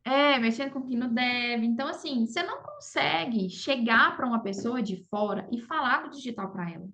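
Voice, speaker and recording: A woman's voice: a little high, animated, fairly quick, bright, forceful tone. A Brazilian woman speaking Portuguese as a podcast guest, telling her story casually and often seeking agreement with little tag questions. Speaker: podcast guest, affiliate marketer. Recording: dull lo-fi audio.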